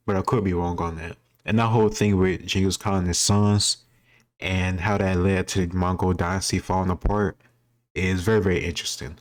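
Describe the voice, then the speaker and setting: An American man chatting casually as he gives rambling, opinionated reaction commentary and often corrects himself. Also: low voice. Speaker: host reacting to a history quiz video.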